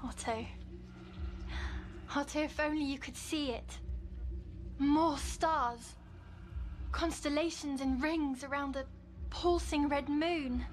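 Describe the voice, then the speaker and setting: A woman playing a timid young girl who describes nightmare visions in halting, wondering fragments, in a horror audio drama. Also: high-pitched, steady tone, a little hiss or hum. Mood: awed. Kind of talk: audio fiction horror acting. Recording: loud music under the voice.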